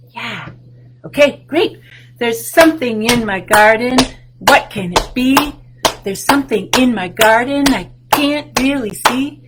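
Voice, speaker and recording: A woman in her sixties, a children's librarian, reading a picture book and showing plants to young children over a webcam from a room at home, in a sing-song voice with excited rises. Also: fairly high pitch, a little hiss or hum. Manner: warm, sing-song and slow, with big excited rises for kids. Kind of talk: storytime reading and show-and-tell for children. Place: home room with bookshelves, indoors. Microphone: webcam video call.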